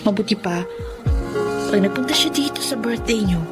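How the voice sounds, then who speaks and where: An actress playing an affectionate, inquisitive young daughter in a radio drama, with a teasing, expressive delivery. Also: female voice, mid-range voice, moderate pace, natural voice. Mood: thoughtful. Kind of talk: Filipino radio drama acting. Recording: loud background music.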